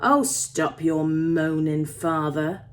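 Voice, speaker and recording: A woman's voice: sits low, steady tone, slow pace, a little hiss or hum. A woman voicing a kind, protective young male miner with a Yorkshire accent in a story. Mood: pleading, defensive. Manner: dialect words, earnest, coaxing.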